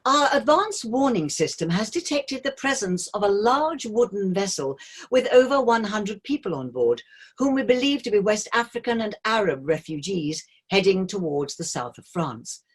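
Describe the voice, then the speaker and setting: A woman acts a cruise captain addressing passengers in a play reading. The voice is husky with lively intonation, and the delivery is official, courteous and calm. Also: mid-range voice, moderate pace. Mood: formal, calm. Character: formal, unruffled cruise ship captain making an announcement.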